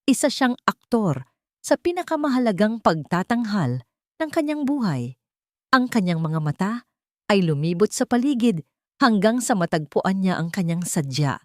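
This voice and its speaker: A woman with a Filipino accent reading a dramatic story in a measured, suspenseful storytelling manner. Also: mid-range voice, big pitch swings, darkish tone, dry recording, studio quality. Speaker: audio drama narrator. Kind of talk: dramatic scripted story narration.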